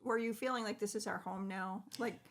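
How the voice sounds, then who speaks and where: A woman's voice: medium pitch, fairly even intonation, fairly quick. Empathetic woman podcast host. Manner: empathetic, prompting, thinking aloud. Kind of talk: interview.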